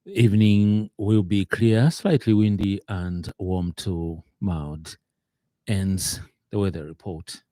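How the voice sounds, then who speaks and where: A man with a Zambian accent reading a scripted radio news bulletin in a formal, somewhat halting manner. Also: sits low, mellow, no room sound. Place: radio studio.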